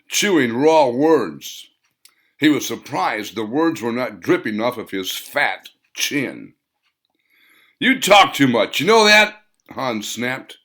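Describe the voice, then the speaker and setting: A man's voice: fairly low pitch, fairly bright tone. A man reading his own fiction as a dramatic audiobook narration, with emphatic, theatrical phrasing. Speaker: author reading own novel.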